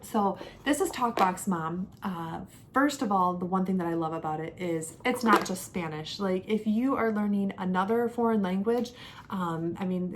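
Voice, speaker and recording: A woman's voice: lowish voice, noisy background. A young adult woman talking casually to camera in a product review, chatty and conversational, leaning on key words. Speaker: homeschooling mother and vlogger.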